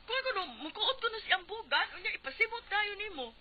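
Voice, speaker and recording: Dull, faintly noisy radio drama: Cebuano gossip, high voice, teasing and hesitant. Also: female voice, expressive, brisk. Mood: gossipy, shocked. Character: gossiping, teasing female neighbour relaying insults. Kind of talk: comic Bisaya radio drama acting.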